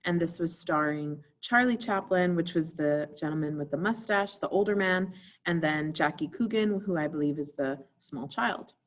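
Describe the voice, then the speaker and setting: A woman's voice: deep voice, normal speed. A woman theater teacher giving an informal recorded video lesson, instructing students directly and putting questions to them.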